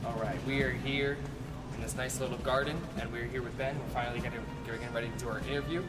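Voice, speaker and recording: A man's voice: medium pitch, steady tone, fast, over loud music, lightly acted. A man playing an eager, slangy news reporter doing a mock live report and interview. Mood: excited, pushy. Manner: slangy, informal. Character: young male news field reporter, eager, casual, pushy.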